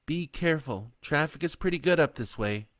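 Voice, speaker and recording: A man's voice: a little high, expressive, moderate pace, a bit bright, no echo, clean studio sound, pitched above the performer's own voice. A man voicing an anxious adult daughter calling her mother. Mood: worried. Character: worried adult daughter on the phone.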